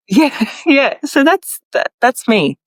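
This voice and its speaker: An earnest woman guest, born in Ireland and raised in Australia, speaks in a mid-pitched voice. She gropes for her words, restarts often and laughs easily. The recording is dry, with no echo. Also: big pitch swings, very slow. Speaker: podcast guest. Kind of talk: conversational interview.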